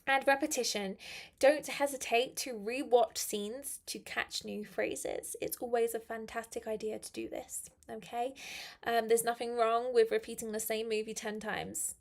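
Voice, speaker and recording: A young woman teaching on a live stream, her voice a little croaky, chatty and friendly, slowing down to stress key phrases. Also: a little high, flat delivery. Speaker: English teacher. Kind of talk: live-stream English lesson.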